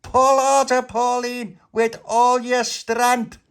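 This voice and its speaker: A man's voice: mid-range voice, moderate pace, gravelly. A man voicing a scheming, bossy little boy ringleader in a storytelling, urgent and persuasive in manner.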